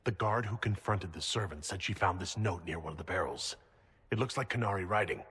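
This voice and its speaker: A man's voice: low voice, brisk, slightly rough, full character voice. A man playing a serious, resolute leader of an inquisition in a video game, speaking earnestly and inquiringly. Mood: concerned. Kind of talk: cinematic video game dialogue. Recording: loud ambient noise behind voice.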